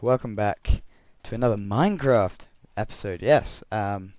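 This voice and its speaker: A young man with a croaky voice from being unwell, rambling through gameplay commentary and a story, correcting himself often with chuckling asides. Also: on the low side, a little slow, darkish tone. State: croaky voice from illness. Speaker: Minecraft let's player.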